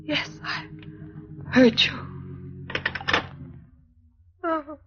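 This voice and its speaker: An actress playing an anxious, devoted middle-aged housewife, fretful and pleading, in 1940s radio drama style. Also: female voice, takes it slowly, a bit bright. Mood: stricken. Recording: muffled, noisy vintage radio recording.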